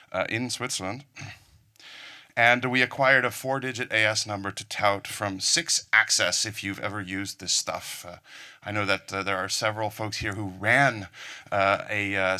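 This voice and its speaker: A middle-aged Dutch man with an American-sounding English accent, speaking from a conference stage. His voice is fairly low, with a bright, forceful edge. He mixes technical explanation with dry, self-deprecating jokes. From Netherlands. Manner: self-deprecating, joking asides.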